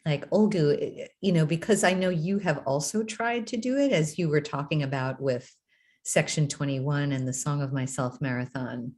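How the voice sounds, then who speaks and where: A woman's voice: deep voice, moderate pace, a little mellow. A woman moderating a panel talk, asking long winding questions and rephrasing them. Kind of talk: conversational panel interview.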